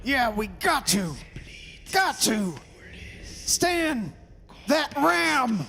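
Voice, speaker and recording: Man acting desperate father, gravelly, reverberant hall. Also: very high-pitched, very animated, extremely slow, pushed and bright, exaggerated voice. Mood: straining, frantic. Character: desperate, anguished father trying to rescue his daughter. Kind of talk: old-time radio horror drama acting. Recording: hissy hall recording with long reverb.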